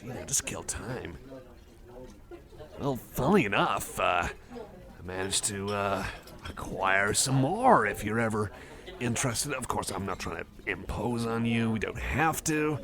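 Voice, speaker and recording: A man acts out a shy, eager, nerdy male cyborg in a casual ASMR roleplay. His voice is gravelly and very lively in pitch as he rambles hesitantly and apologetically. A busy cafe crowd murmurs behind him. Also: a little slow. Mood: hesitant, hopeful.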